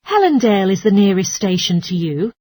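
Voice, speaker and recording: A woman's voice: medium pitch, very animated, fairly quick, mellow, no echo. A woman playing a courteous, helpful transport information clerk in a scripted language-test dialogue.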